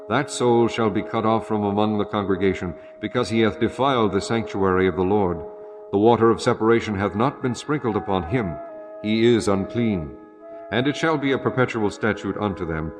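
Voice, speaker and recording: Low-pitched man narrating scripture over music. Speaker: audio Bible narrator.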